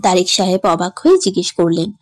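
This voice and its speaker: A woman's voice: fairly low pitch, big pitch swings, fast, no room sound, clean studio sound. A woman narrating a scripted dramatic story as its storyteller.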